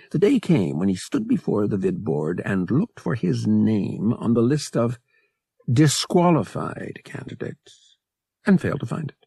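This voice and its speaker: A man narrating an audiobook dramatically, with deliberate phrasing and pauses for effect. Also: lowish voice, creaky, warm tone, slightly rough, no echo.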